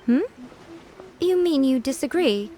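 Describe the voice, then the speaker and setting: A woman voicing a composed, knowing shrine priestess in a video game, teasing and measured with an air of authority. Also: high-pitched, lively intonation, warm tone. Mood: sly, amused. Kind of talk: English video game voice acting.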